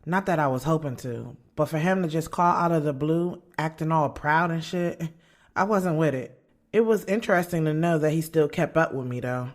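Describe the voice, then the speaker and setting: A woman's voice: sits low, normal speed, natural voice. An actress with an American accent playing a blunt, sarcastic young woman writer, narrating her love life in a slangy, conversational audio drama style. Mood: wry, candid. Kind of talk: first-person scripted narration in an audio drama. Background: music under the voice.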